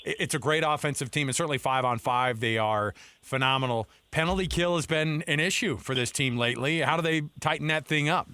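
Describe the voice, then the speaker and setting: A man hosts a sports radio show with a bright, forceful voice. His studio sound is dry and close. He chats and banters easily before he asks his guest a question. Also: on the high side, fairly quick, clear, resonant tone, no room sound. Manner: chatty, bantering. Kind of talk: casual sports talk.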